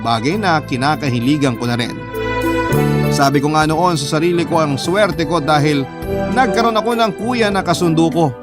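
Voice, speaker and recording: A fairly high-pitched actor as a young man tells a family story in the first person. He sounds fond and nostalgic about his older brother, with music clearly playing underneath. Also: close to an everyday voice. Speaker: narrator of a letter story.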